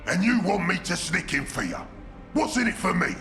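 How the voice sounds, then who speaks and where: An actor playing a brutish, greedy ork warboss in a video game, with a gruff Cockney growl and blunt, crude delivery. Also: male voice, high voice, sing-song, moderate pace, pushed and bright, full character voice. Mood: menacing, suspicious. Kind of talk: video game character acting. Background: music.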